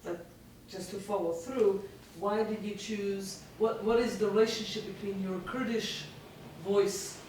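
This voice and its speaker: Man, interviewer, high voice, very echoey, far from mic, rephrasing question. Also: steady tone, a little slow, few pauses, a little mellow, a little hiss or hum. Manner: searching, rephrases mid-question. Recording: distant and very echoey room mic.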